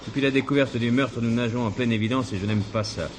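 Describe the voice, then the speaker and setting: An actor playing a methodical, sceptical police commissioner in a 1970s French drama, speaking in a measured, polite, probing manner. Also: male voice, medium pitch, a little hiss or hum, lightly acted. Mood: firm, thoughtful. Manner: measured, questioning, courteous.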